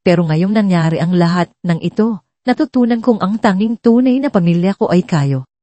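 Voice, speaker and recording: A woman voicing an earnest, humbled, loving widowed father in a dramatic story, speaking in a solemn, measured way. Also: fairly low pitch, lively intonation, warm tone, dry recording. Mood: solemn, tender.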